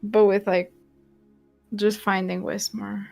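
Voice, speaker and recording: A woman's voice: mid-range voice, little pitch movement, slow pace, creaky, darkish tone, soft background music. A woman whose first language is Romanian, chatting casually on a stream and teasing her co-host with frequent laughter. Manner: giggly, teasing.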